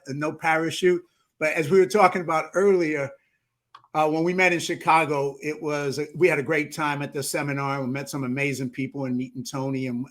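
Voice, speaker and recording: Playful higher-voiced New man host. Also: a bit bright. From New York. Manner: playful, teasing, many restarts. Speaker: podcast host. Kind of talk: casual interview chat.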